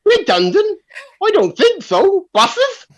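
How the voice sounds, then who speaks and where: Woman doing a boastful village man, very high, hugely animated, blustering, insistent, cantankerous, radio comedy. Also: slow, exaggerated voice. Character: loud, boastful, cantankerous village man telling tall tales. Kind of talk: comedy radio play read online.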